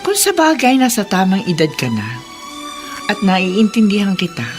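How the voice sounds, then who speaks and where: A woman's voice: mid-range voice, huge pitch swings, normal speed, over music. A woman playing a caring, middle-aged aunt in a radio drama, coaxing and frank. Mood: understanding. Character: caring, probing, understanding aunt. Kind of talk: Tagalog radio drama acting.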